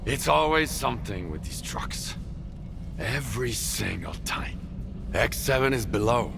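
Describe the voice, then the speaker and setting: An actor playing a weary, resolute veteran wasteland leader in a video game, gravelly, measured and grave. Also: male voice, mid-range voice, lively intonation, noisy background. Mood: weary, briefing. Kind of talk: video game cutscene acting. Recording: loud music over the voice.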